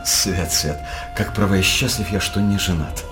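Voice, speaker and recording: A wry court jester acted by a man speaks in a fairly low voice with music clearly behind him. He is flattering and mock-pious, a sly confidant declaiming verse in a theatrical radio play. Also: moderate pace, natural voice.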